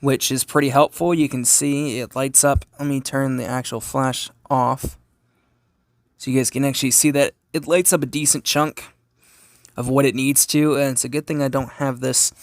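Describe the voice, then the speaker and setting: A man's voice: mid-range voice, steady tone, moderate pace, no room sound, clean studio sound. A man giving a casual, unscripted hands-on product review in an explanatory way, as a hobbyist. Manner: explanatory, asks and answers own questions. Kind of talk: casual unscripted gadget demonstration. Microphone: phone camera held while demonstrating.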